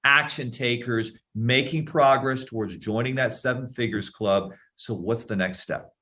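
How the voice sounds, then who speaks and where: Male podcast host, upbeat motivational read, middle pitch. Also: a little slow, sharp tone. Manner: upbeat, hyping, calls listeners guys. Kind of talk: casual interview talk.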